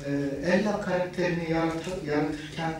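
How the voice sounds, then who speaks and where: A man's voice: a little high, steady tone, fairly quick. A man from the audience asks a question hesitantly, with many filler sounds and restarts. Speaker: audience member asking a question. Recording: echoey room, distant mic, noise.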